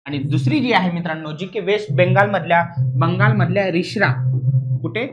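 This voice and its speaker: A male exam coach with a fairly high voice explains material as in a classroom. He is didactic and repeats the important points, often putting brief rhetorical questions to his students to make sure they follow. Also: fairly quick, fairly bright tone, soft background music. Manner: didactic, repetitive, checking understanding with rhetorical questions.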